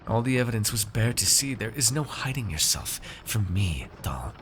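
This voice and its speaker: A man's voice: fairly low pitch, big pitch swings, normal speed, very noisy. A man playing a haughty, teasing, possessive noble master in an ASMR roleplay, with formal, drawling, condescending theatrical diction. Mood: menacing, teasing.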